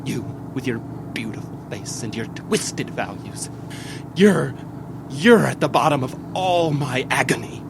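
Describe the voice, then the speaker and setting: A man's voice: medium pitch, animated, a little slow, creaky, background noise. An actor playing an unstable, lovesick young man who stammers and frets in old-time radio mystery style. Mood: menacing, unhinged. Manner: stammering, pleading, overwrought.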